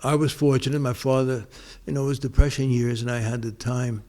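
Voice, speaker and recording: An older man talks through old memories in an unhurried way. He keeps circling back with restarts and fillers, and his voice sits in a mid-range pitch. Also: fairly even intonation, dark tone. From New York, United States. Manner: rambling, many restarts and pauses. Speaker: interviewee. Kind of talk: casual oral-history interview.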